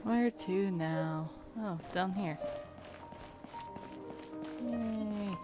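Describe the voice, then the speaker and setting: A woman's voice: medium pitch, extremely slow, loud music behind the voice. A woman game streamer giving casual live commentary.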